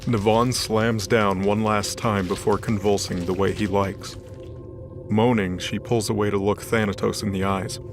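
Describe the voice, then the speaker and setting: A man's voice: lowish voice, steady tone, a little slow. A man narrating a scripted dramatic story as the storyteller of an audio drama. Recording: voice over background music.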